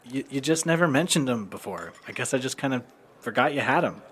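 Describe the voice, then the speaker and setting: Man playing an anxious young man, swooping pitch. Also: expressive, a little fast, close to an everyday voice. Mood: nervous. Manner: hesitant, sheepish. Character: awkward, anxious, earnest young man, embarrassed son. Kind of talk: audio drama acting. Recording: music playing loudly behind.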